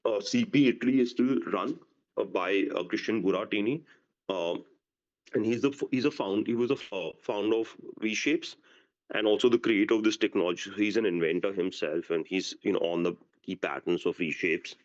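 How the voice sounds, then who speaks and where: A man speaking as a company executive in unscripted business Q&A, halting and often restarting his phrases, on a conference call. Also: fairly low pitch. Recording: dull, lo-fi conference call audio.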